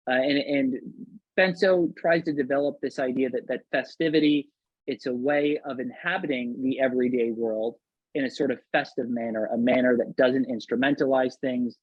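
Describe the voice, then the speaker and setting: A man with a husky voice moderates a scholarly panel discussion. He speaks haltingly, doubling back on words as he builds his points, and the recording is dull and lo-fi. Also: mid-range voice. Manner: halting, hesitant, repeats words mid-sentence. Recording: muffled, roomy, lo-fi sound.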